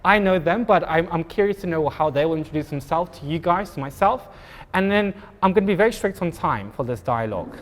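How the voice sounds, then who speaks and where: A man from Pretoria with an Afrikaans accent, moderating a public panel talk in a halting way, with many ums and restarts. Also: fairly high pitch, animated, in a large hall. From Pretoria, South Africa.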